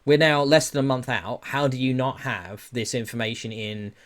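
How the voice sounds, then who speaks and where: A man's voice: mid-range voice, fairly even intonation, normal speed, a bit bright. Blunt man hosting a podcast. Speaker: podcast host. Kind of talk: casual gaming podcast chat.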